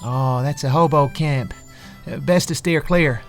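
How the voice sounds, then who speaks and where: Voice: medium pitch, measured pace, faint music behind, natural voice. A performer playing a cautious, earnest young male runaway in an audio drama, slangy and hesitant. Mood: wary.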